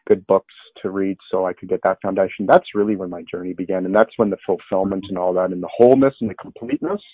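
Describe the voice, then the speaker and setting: A man's voice: low-pitched, fairly even intonation, fast, husky, dry recording. Earnest man on a narrow phone line. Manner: earnest, rambling with restarts and fillers. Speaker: interview guest. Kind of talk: conversational testimony.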